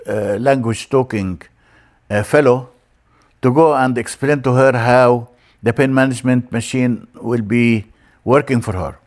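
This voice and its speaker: An older man from Egypt, a native Arabic speaker with an Egyptian accent, teaching in an informal lecture style with a halting, deliberate delivery. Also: mid-range voice. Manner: halting, explanatory, with deliberate word-by-word emphasis. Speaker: church language teacher.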